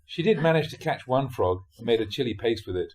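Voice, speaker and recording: A man reading Buddhist teaching stories aloud in the animated manner of a storyteller. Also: mid-range voice, fast. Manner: animated storyteller, acts out dialogue, often chuckles. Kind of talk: audiobook reading of dharma talk storytelling.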